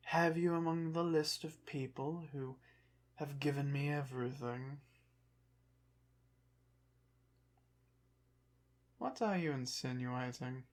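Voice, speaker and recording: Slow, proud revived ancient Egyptian played by an actor. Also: mid-range voice. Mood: guarded, reluctant. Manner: formal, haughty, guarded, halting phrases. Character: proud, touchy ancient Egyptian revived from the dead, museum exhibit. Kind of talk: ASMR roleplay, one side of a dialogue.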